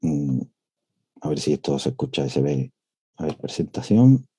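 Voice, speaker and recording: Dark-voiced male professor lecturing. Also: very deep voice, big pitch swings, husky, dry recording. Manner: measured, with frequent "eh" hesitations. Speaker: university professor giving a lecture. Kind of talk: academic lecture. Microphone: computer mic on a video call.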